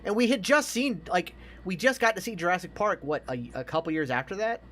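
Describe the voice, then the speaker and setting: A man's voice: high voice, expressive, fairly quick, fairly bright tone, slight background noise. An American man in his thirties, a podcast co-host chatting casually and emphatically about films, with plenty of swearing.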